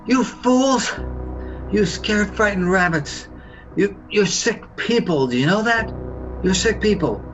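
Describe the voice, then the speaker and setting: A man's voice: high voice, animated, takes it slowly, lo-fi sound, soft background music. A man playing an accused suburban husband, bewildered, defensive and indignant, in a radio play. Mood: furious, warning.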